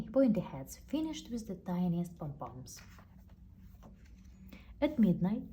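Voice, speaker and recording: A woman reads a children's storybook with a non-native English accent. Her tone is mellow and very animated, with faint noise behind it. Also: lowish voice. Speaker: storybook reader. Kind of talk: expressive children's storybook narration.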